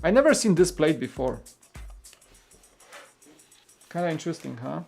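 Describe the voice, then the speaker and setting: A man from Poland with a Polish accent, hosting a live stream in a casual chat and reading out viewer comments. He talks in a rambling, relaxed way with many fillers and restarts. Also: a little high, fast, vocal fry, faint music behind.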